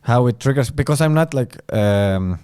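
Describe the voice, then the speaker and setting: A man with an Estonian accent, a comedian chatting as a guest and often pausing to search for words. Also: mid-range voice, lively intonation, dark tone, clear, resonant tone, no room sound, studio quality. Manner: hesitant, searching for words, many fillers. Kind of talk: casual chat.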